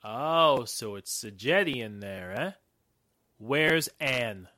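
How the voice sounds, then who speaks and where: Actor as forceful, slow-talking radio hero. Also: male voice, mid-range voice, expressive. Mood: menacing. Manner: terse, deliberate orders. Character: sharp, commanding male hero in a radio thriller. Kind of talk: 1940s radio drama acting.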